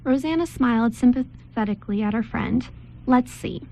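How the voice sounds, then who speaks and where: High-voiced woman narrating, slight noise. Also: moderate pace, creaky, a little mellow, low-quality recording. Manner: stumbles over words while reading. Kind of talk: scripted story narration, read aloud.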